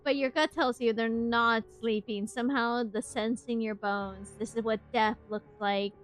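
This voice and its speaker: A woman streamer reacting casually and playfully to a game, excitable and joking with her chat. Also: fairly high pitch, unhurried. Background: game music.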